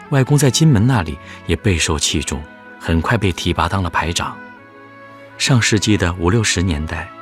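A fond grandson narrates a radio drama with reverence. His voice is low and moves expressively, with music clearly behind it.

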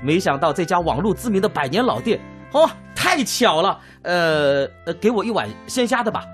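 A man's voice: sits high, sing-song, moderate pace, faint music behind. A man acting a polite, good-natured noodle-shop owner in his thirties, with a Taiwanese Mandarin accent and a hesitant manner, in a radio drama. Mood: flustered. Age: 30s. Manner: hesitant, polite, soft particles.

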